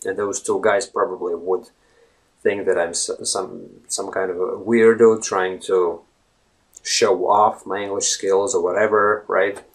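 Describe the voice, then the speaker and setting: A man's voice: sits low, little pitch movement, a little slow, creaky, low-quality recording. A man in his thirties from Latvia, a native Latvian speaker with a Latvian accent, talking casually to the camera and rambling, often asking "right?". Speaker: language blogger.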